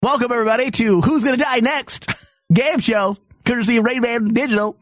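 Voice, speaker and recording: A man's voice: very high voice, brisk, no echo, studio quality. A man hosting casual comedy banter in the manner of a mock game-show host.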